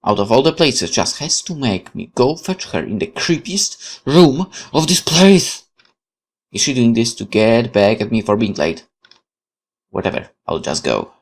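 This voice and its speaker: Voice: medium pitch, very animated, measured pace. A gamer doing let's play commentary, reading game text aloud expressively and questioning it. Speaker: gaming YouTuber.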